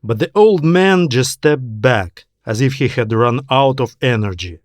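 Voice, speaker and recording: A man reading a scripted story as an audiobook narrator, in a measured, deliberate storytelling manner. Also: medium pitch, expressive, no room sound, studio quality. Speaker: author narrating own story.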